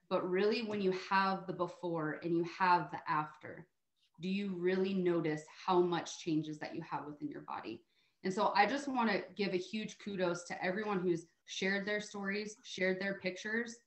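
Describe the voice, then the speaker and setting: Female guest speaker, American, lowish pitch, encouraging testimonial style, roomy call sound. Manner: encouraging, emphatic, often adds "right?". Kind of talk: motivational testimonial, conversational. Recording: roomy, echoey call audio.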